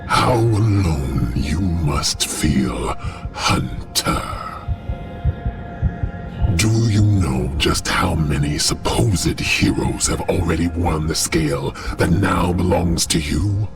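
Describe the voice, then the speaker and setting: A male actor voicing a menacing, seductive dragon lord in a fantasy game, theatrical and taunting, with a gravelly voice. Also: low voice, animated, slow, full character voice. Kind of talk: dramatic fantasy game villain acting. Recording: loud music under the voice.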